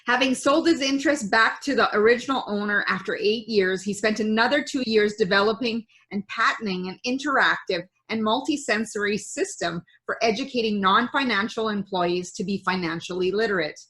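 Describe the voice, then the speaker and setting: A woman's voice: mid-range voice, brisk, hardly any pauses, a bit bright. Enthusiastic woman hosting a show. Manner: upbeat, enthusiastic. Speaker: show host. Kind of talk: reading a guest introduction.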